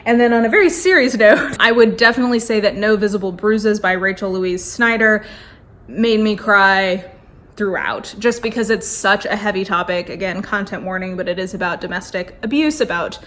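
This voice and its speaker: A woman's voice: mid-range voice, normal speed, fairly bright tone. A woman chatting casually and effusively as a book vlogger, with an informal delivery full of "like".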